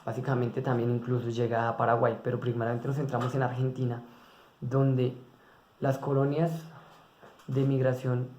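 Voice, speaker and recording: Monotone man giving a class presentation. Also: medium pitch. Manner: monotone, with hesitant fillers and restarts. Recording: echoey room.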